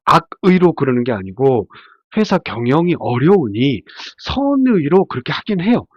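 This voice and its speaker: A man's voice: fairly high pitch, big pitch swings, moderate pace, dry recording. A man giving an informal, explanatory slide lecture as a labor attorney, his voice stuffy and nasal from a cold, often asking and answering his own questions. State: congested from a head cold. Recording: dull, muffled lo-fi sound.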